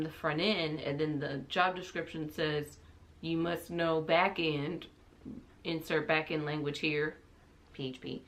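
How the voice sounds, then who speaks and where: Casting: young woman, lowish voice, casual giggly vlogger, self-deprecating and rambling. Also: fairly bright tone. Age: young adult. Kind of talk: casual, chatty vlog talk to camera.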